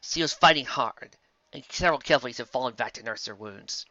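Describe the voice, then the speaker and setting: A man narrating a fan fiction audiobook, with a lively storytelling delivery. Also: medium pitch, brisk, no room sound, muffled recording. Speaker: audiobook reader.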